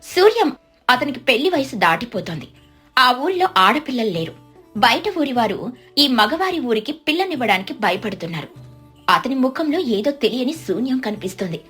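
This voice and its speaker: Animated female storyteller, higher-pitched voice. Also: a little fast, soft background music. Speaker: story narrator. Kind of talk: scripted moral-story narration.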